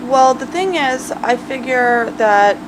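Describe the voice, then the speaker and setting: A woman's voice: high-pitched, animated, takes it slowly, background noise. A Russian-born woman, a film makeup artist, talking casually and confidently in an interview, often restarting her sentences.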